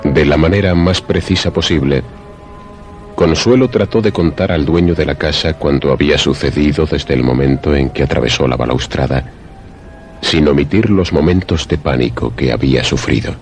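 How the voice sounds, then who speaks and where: A man narrating a scripted suspense radio drama in a measured, formal, literary manner. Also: rumbling low, darkish tone, soft background music.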